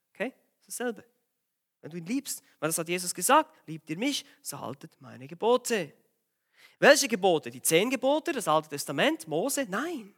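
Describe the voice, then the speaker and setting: Male preacher, voice a bit worn from a cold, bright and forceful, urgent exhortation. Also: a little high. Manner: urgent, exhorting, asks the congregation direct questions. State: has a cold, voice a bit strained. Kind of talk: sermon.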